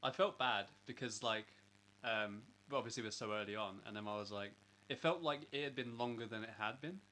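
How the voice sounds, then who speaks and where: A man's voice: fairly low pitch, a little fast, pushed and bright, slight background noise. A man from England co-hosting a podcast in casual chat, sniffly with a cold and laughing often. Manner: laughs often, asks questions. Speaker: podcast co-host.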